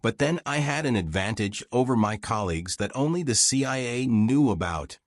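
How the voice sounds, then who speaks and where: A man's voice: medium pitch, lively intonation, normal speed, no room sound, clean studio sound, close to an everyday voice. A man voicing a frank, wry old American war reporter reminiscing in folksy, self-deprecating audiobook dialogue. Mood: matter-of-fact.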